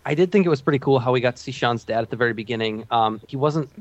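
A man's voice: mid-range voice, very fast, no room sound, muffled recording. A man co-hosting a podcast, casually discussing television in a joking, mock-incredulous way. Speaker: podcast co-host.

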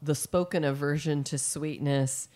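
A woman hosting a casual interview, chatty and quick to laugh. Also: sits low, steady tone, darkish tone. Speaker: podcast host.

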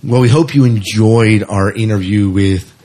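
Male podcast host, lowish pitch, husky tone, casual and affirming. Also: warm tone. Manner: agreeable, quick to laugh and affirm.

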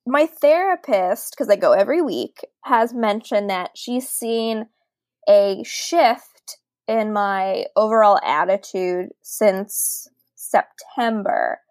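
A woman's voice: medium pitch, takes it slowly, dry recording. Chatty woman in her late twenties, dry close sound. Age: late 20s. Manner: chatty, laughs often, lots of "like" fillers. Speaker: podcast co-host. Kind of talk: casual podcast chat.

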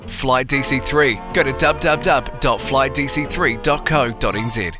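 A man's voice: medium pitch, moderate pace. A man reading a scripted sponsor advertisement as an announcer. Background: guitar music.

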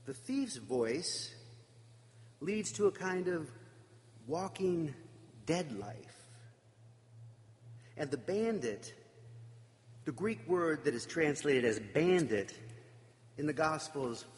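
A man's voice: on the high side, animated. Man giving a sermon, voice swoops up and down a lot, long hall echo. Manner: reflective, emphatic with dramatic pauses. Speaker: preacher.

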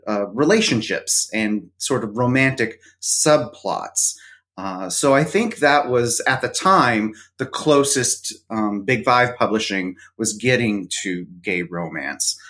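A man's voice: medium pitch, animated, takes it slowly, a bit bright. Hesitant middle-aged man. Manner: hesitant, many fillers. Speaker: podcast co-host, interview guest. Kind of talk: casual conversation.

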